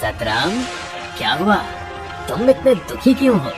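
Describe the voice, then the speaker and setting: A man performs a calm rain god from a cartoon fable. He speaks slowly in a kindly, reassuring manner over loud music. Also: sits high, exaggerated voice. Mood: concerned. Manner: measured, reassuring.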